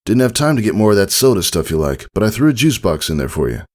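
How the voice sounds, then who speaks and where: A man voicing a warm, doting, gently teasing pony father in a fan fiction audiobook. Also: fairly low pitch, very animated, fast, pitched above the performer's own voice.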